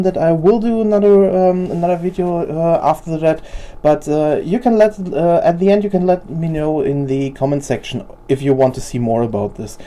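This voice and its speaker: A young woman whose first language is German talks through her collection in a loose, unscripted way. Her voice sits low and sounds very dark and mellow. She hesitates often and circles back over her own words. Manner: hesitant, many fillers and restarts. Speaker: figure collector video host. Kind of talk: casual unscripted show-and-tell.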